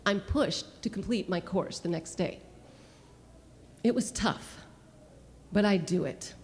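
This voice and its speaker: A woman's voice: mid-range voice, slow pace, a little hiss or hum. A woman performing an autobiographical cabaret monologue with wry, emphatic storytelling, recorded live on a small club stage through a stage microphone. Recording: live club with reverb and piano.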